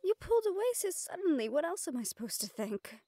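A woman's voice: very high voice, sing-song, fairly quick, dry recording, exaggerated voice. A woman playing a shy, sweet, self-conscious young nephilim woman in love, speaking hesitantly and tenderly in a romantic audio roleplay. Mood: flustered. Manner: hesitant, tender, breathy hushed passages.

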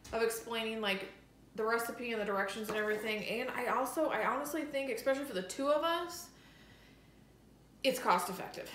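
A woman's voice: fairly high pitch, a bit bright. A woman vlogging casually from her home kitchen, chatty and enthusiastic, with plenty of "like" fillers. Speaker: lifestyle vlogger. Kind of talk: casual chatty vlog talk to camera. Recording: echoey room with faint noise.

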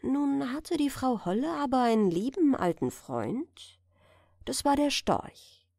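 Female audiobook storyteller, fairly high voice, expressive bedtime fairy-tale read, unhurried. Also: creaky, dry recording, studio quality. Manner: expressive, carefully phrased storytelling. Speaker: audiobook narrator.

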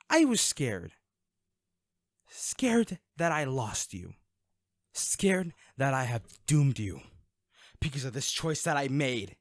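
Protective male bodyguard, played by a man for audio drama: emotional, halting, unhurried, with wide pitch swings. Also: no echo, clean studio sound. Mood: pleading, heated. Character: protective, worried male bodyguard scolding a loved one. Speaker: audio roleplay voice actor. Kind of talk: intimate dramatic audio roleplay.

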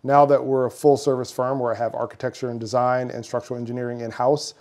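A man's voice: medium pitch, level tone, fast talker, a little mellow. A man in his thirties, a builder and firm founder, explaining his work earnestly in an office meeting room, with some fillers. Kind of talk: interview answers.

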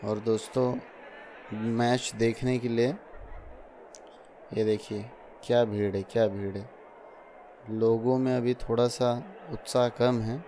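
A man's voice: mid-range voice, little pitch movement, slow, pauses often, mellow, slight background noise. A young man narrating his daily vlog in a chatty voice-over, often addressing viewers as friends. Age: young adult. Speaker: vlogger.

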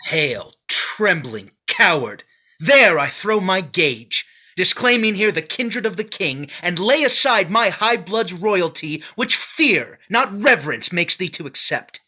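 Guy reading a fierce accusing noble, bright voice, big pitch swings, slow and forceful. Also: animated, slightly rough, exaggerated voice. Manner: forceful, measured accusing delivery. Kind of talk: staged Shakespeare reading. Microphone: video call webcam.

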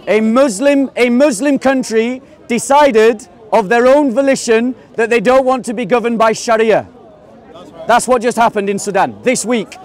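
A man from England debating outdoors in a park, pressing his point with rapid, insistent questions. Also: very high-pitched. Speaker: street debater. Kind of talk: open-air debate.

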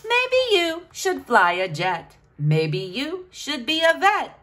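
Woman reading aloud to children, very animated pitch. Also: slow. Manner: addresses children directly, sing-song questioning delivery. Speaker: storyteller reading a picture book to children. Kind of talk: children's picture-book read-aloud.

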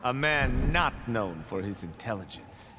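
An actor playing a smug warrior monk who needles others, in a video game. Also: male voice, mid-range voice, lively intonation, unhurried, lightly acted. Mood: smug, needling. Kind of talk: video game character acting. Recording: long reverb, noisy background.

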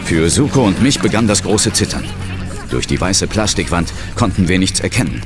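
A man's voice: low voice, sing-song, moderate pace. An actor playing an intense ghost hunter who narrates his own peril in a horror audio drama. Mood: tense. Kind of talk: scripted first-person horror narration. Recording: dramatic music underneath.

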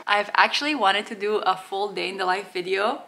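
A woman's voice: medium pitch, fairly quick, sharp tone, a little hiss or hum. A woman in her thirties from Romania, a native Romanian speaker, casually narrating a family vlog from her home. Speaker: vlogger.

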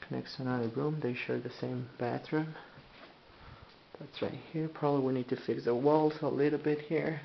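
Gravelly man on muffled camcorder audio. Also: medium pitch, fairly even intonation, a little hiss or hum. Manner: halting, restarts often, plain speech. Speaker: home tour guide. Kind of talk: casual walkthrough narration. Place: inside a manufactured house.

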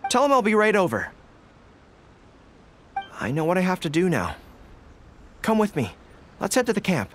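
A man playing a caring, steadfast young dog-eared general in a video game, sincere and gently reassuring. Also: high-pitched, huge pitch swings, moderate pace, a little hiss or hum. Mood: resolute, reassuring. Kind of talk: scripted video game voice acting.

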